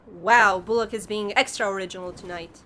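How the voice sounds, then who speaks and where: Young female crime fighter played by a woman: sarcastic, idealistic, medium pitch, superhero audio drama. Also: steady tone, a little fast, bright, forceful tone, slight background noise, close to an everyday voice. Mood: sarcastic, exasperated. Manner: sarcastic, casual.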